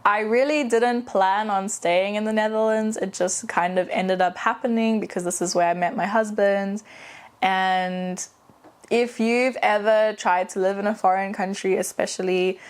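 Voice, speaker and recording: A woman's voice: medium pitch, fairly even intonation, a little slow. Chatty woman with a mixed South African accent. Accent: South African with British and American traces. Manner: chatty and candid, with frequent fillers and self-corrections. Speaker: vlogger and aspiring novelist. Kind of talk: casual personal vlog.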